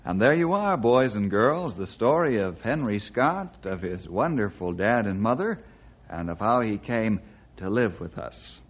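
A steady, kindly ranger of old radio drama. His voice is low and husky, with lively rises and falls as he tells his tale. The recording is dull and lo-fi. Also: measured pace, noisy background. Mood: earnest, storytelling. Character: steady, kindly cowboy ranger, storyteller and family friend. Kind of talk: old-time radio drama acting and narration.

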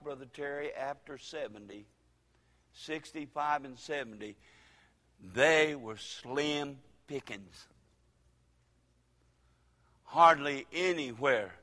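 Older preacher in an echoing church. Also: male voice, mid-range voice. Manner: folksy preaching with dramatic pauses and sudden loud rises. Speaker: pastor. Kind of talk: sermon.

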